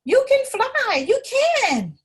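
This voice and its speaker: A woman's voice: extremely high pitch, very animated, slow pace, voice pushed up, full character voice. A woman reading a storybook, voicing a plucky, encouraging little male mouse. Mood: urgent, encouraging.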